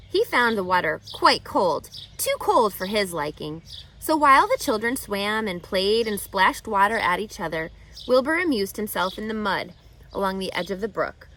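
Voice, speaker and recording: A woman reading a children's storybook aloud with expressive, deliberate phrasing that stretches and stresses key words. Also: medium pitch, slight background noise. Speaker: storybook reader.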